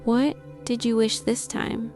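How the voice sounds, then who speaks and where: A woman playing a protective, quietly serious mother in an eerie story, speaking gently but firmly. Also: fairly high pitch, little pitch movement, slow, faint music behind. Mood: gentle. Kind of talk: scripted story dialogue.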